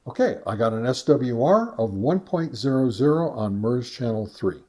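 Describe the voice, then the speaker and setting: A man narrating a casual product test as a review host, talking step by step in a halting way. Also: mid-range voice, very animated, warm tone. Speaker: host of a ham radio gear review channel.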